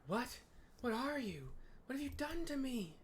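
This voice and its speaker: A man's voice: very high-pitched, sing-song, measured pace, pitched above the performer's own voice, exaggerated voice. A vengeful wind goddess , put on by a man narrating the game, speaks in a raised, haughty tone. She threatens mortals with grand, declaiming lines. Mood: disbelieving.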